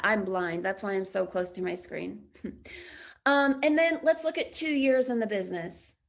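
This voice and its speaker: A woman in her thirties leading an online business training, chatty and upbeat, with a slightly raspy voice. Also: medium pitch. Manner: upbeat, chatty, frequent laughs and throat clearing. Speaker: business coach.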